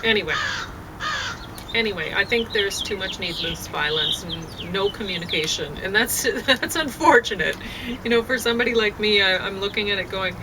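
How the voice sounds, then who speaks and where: Woman just rambling casually, kinda hesitant, outside on a deck w noticeable background noise. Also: mid-range voice. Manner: hesitant, halting, thinking aloud. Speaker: vlogger sharing opinions on a show. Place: outdoors on a deck. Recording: outdoor deck, clear background noise, birds.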